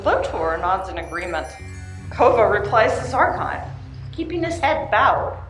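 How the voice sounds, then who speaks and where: A woman's voice: mid-range voice, very animated, unhurried, a bit bright. A woman reading scripted narration for a radio play. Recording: echoey live room, faint music.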